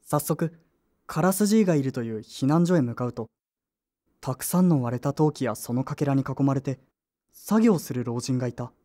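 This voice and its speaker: A woman's voice: very deep voice, lively intonation, moderate pace, warm tone. A woman voicing an earnest, anxious teenage boy in a radio drama, boyish and emotional with blunt speech. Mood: reflective narration. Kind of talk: radio drama acting with narration.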